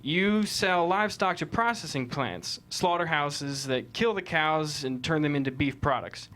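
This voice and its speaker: A man's voice: fairly high pitch, unhurried, fairly bright tone. A man playing a probing, argumentative defense lawyer with methodical questioning in a courtroom drama table read.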